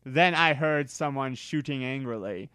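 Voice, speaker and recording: Slow, clearly spoken male story reader. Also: medium pitch, sharp tone, dry recording, studio quality. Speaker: narrator reading fan fiction aloud. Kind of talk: dramatic amateur story reading.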